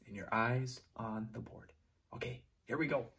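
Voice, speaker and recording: A young man teaching preschoolers online at a whiteboard, talking slowly and encouragingly in a sing-song way, moving toward and away from the camera. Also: mid-range voice, fairly bright tone. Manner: slow, sing-song, encouraging teacher talk to small children. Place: classroom at a whiteboard. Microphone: camera close up, moving away to write.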